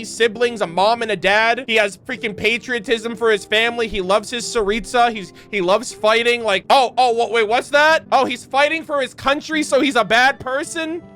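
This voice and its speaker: A young man giving casual, animated reaction commentary on a game, joking and ranting with plenty of swearing. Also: sits high. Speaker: streamer reacting to game clips.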